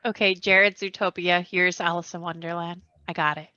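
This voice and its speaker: A woman's voice: on the low side, flat delivery, brisk, pushed and bright, clear, resonant tone, no echo. A woman guesting on a podcast with short casual banter, on slightly dull audio.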